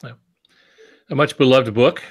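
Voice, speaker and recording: Older man, mid-pitched, co-hosting casually. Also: steady tone, measured pace, husky. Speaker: podcast co-host. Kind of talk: casual conversation.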